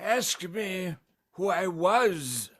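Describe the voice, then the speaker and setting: A tormented ghost in a theatrical radio play. The voice is high and piercingly bright, with slow, solemn declamation. It is mournful and full of grave warning. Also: male voice, animated, slightly rough, exaggerated voice. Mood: ominous. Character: mournful ghost of dead miserly business partner, warning and anguished.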